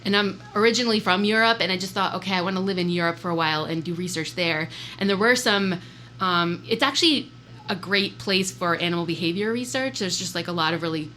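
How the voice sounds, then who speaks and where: Bright-voiced chatty woman scientist. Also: lowish voice, fast, slight background noise. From born in Switzerland, raised in the US. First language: Swiss German. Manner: chatty and upbeat, with frequent fillers. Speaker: wildlife biologist guest. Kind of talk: casual podcast interview.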